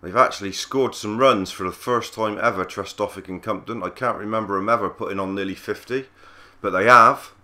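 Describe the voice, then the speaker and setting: Husky man with a cold, bright tone. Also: fairly low pitch, a little fast, hardly any pauses. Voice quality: husky, croaky. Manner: easygoing, understated play-by-play. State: hoarse from a cold. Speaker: gaming channel host. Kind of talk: casual game commentary.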